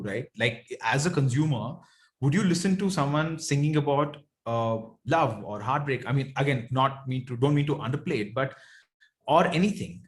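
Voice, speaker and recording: A man with a South Indian accent speaking as an interview guest, reflective and conversational, often checking in with "right" or "you know". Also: mid-range voice. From Bengaluru, India.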